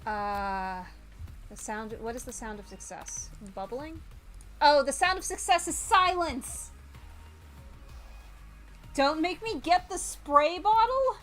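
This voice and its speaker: A woman's voice: sits high, lively intonation, slow, pauses often, soft background music. A woman doing live game stream commentary, reading on-screen text aloud and breaking into exasperated exclamations. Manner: exclaims loudly in exasperation, mutters while reading. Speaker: VTuber streamer.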